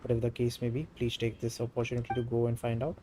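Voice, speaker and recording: A man's voice: medium pitch, completely flat delivery, rapid-fire, a little hiss or hum. A man with an Indian accent livestreaming a video game in casual commentary, reading dialogue and switching between languages. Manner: switches between Hindi and English. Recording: game music over the voice. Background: loud game music.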